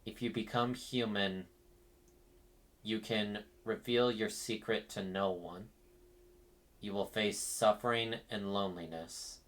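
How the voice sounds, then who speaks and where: A man's voice: fairly low pitch, steady tone, slow, fairly bright tone. A man reading game text aloud and commenting on it as a streamer.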